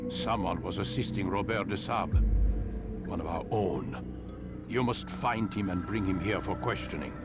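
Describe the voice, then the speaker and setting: An actor playing a stern old master of an assassin order in a video game, lecturing gravely and formally. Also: male voice, low voice, expressive, measured pace. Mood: commanding. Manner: grave, solemn, formal mentor. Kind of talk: dramatic video game acting. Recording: loud music behind the voice. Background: music.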